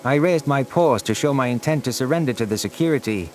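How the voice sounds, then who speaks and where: A man's voice: mid-range voice, expressive, fast talker, faint music behind. A man reading scripted first-person science fiction narration as a story narrator. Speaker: narrator of audio stories.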